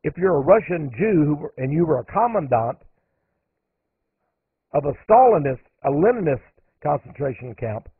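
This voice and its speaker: A man's voice: fairly high pitch, big pitch swings, normal speed, breathy edge, no echo. A man hosting a radio monologue, talking emphatically and climbing sharply on the points he stresses. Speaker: radio host. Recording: narrow phone-like radio audio, dry.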